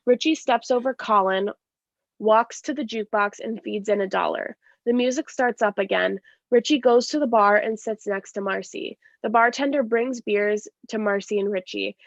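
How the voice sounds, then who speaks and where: A woman's voice: medium pitch, normal speed, gravelly, no echo. A woman reading scripted stage directions as narration, plainly and step by step, with slight stumbles. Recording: dry, slightly dull lo-fi sound.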